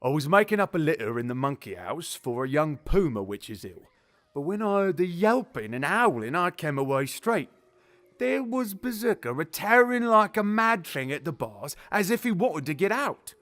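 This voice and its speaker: An actor playing a grumpy but genial elderly zookeeper, telling things bluntly in rambling colloquial speech for an audio drama. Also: male voice, on the high side, sing-song, normal speed, a bit bright. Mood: storytelling, candid. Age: older. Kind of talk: audio drama character acting.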